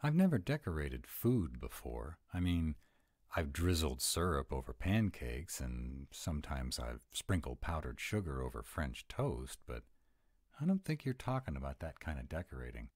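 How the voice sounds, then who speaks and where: A man's voice: a bass voice, big pitch swings, darkish tone, no echo, clean studio sound, heavily acted. A man playing a grumpy, fussy, easily flustered were-dragon who loves food, in a dramatic, exasperated roleplay audio. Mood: sulky, puzzled. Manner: exasperated, dramatic, slow and emphatic. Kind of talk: one-sided romantic comedy roleplay audio.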